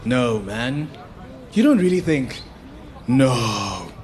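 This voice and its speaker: A man playing an eager, suspicious young radio station colleague in a live radio drama, informal and excitable. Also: mid-range voice, extremely slow, faint music behind.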